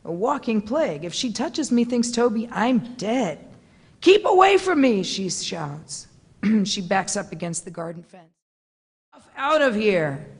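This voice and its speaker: Female narrator for dramatic literary reading, measured and suspenseful, mid-pitched, heard in a large reverberant theatre. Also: vocal fry, breathy edge. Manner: theatrical, suspenseful, deliberate phrasing. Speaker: narrator, stage performer.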